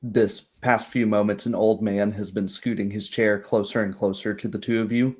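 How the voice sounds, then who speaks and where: A man narrating a tabletop roleplaying game as game master, describing things in a halting, improvised way. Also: on the low side, little pitch movement, a little mellow.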